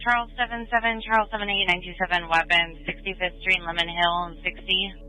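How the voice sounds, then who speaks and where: Very bright high-pitched male police dispatcher. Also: level tone, fast talker, low-quality recording. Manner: clipped, procedural. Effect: police radio. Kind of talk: radio dispatch. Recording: police scanner with music.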